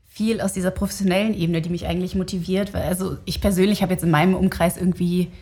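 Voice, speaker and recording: A woman with a slightly low voice talks in an interview. She explains things informally, as if thinking aloud. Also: fairly even intonation, quick pace, dark tone. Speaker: interview guest, researcher. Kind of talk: conversational interview answers.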